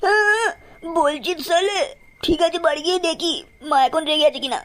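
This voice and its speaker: A woman voicing an anxious, whiny young village boy in a comic cartoon, with a squeaky, very high voice. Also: animated, normal speed, a little hiss or hum. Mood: sulky.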